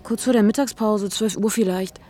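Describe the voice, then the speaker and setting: An actress plays a matter-of-fact female office assistant in a radio play. Her voice is medium in pitch and husky, with faint music behind it. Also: steady tone, moderate pace, warm tone, close to an everyday voice. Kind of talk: radio drama dialogue.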